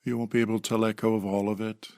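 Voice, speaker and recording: A man leading a guided meditation in a gentle, unhurried manner with pauses, recorded close to the microphone. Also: on the low side, level tone, no echo. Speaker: meditation teacher.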